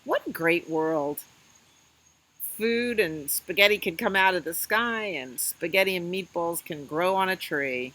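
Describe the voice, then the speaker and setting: A woman hosting a children's storytime, reading aloud in an expressive, sing-song way and talking directly to young listeners. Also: on the low side, unhurried, low-quality recording. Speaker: children's librarian, storytime host.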